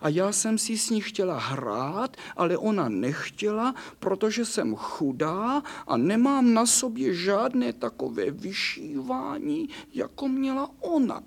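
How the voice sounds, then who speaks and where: A man voicing a poor, sweet nightshirt with a soft, plaintive way of talking. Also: sits high, big pitch swings, vocal fry, hardly any pauses, voice pushed up, exaggerated voice. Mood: sad, plaintive.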